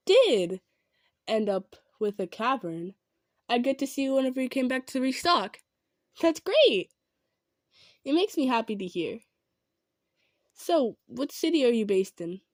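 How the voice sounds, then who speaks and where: A woman's voice: sits high, no echo, studio quality, pitched above the performer's own voice, full character voice. A woman voicing a kind, self-effacing female centaur in an improvised audio roleplay, speaking earnestly and a little hesitantly to the listener. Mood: pleased, caring. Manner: earnest, hesitant, gentle insisting.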